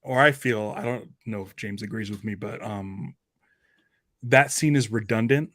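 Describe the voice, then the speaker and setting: A man's voice: on the low side, moderate pace, fairly bright tone, dry recording, clean studio sound. A man chatting casually as a podcast host. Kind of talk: casual chat.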